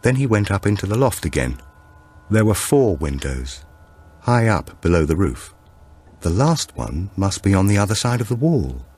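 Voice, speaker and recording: Male audiobook narrator for suspense fiction: low, husky, measured, with expressive rise and fall. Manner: measured storytelling. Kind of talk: scripted narration of a suspense novel.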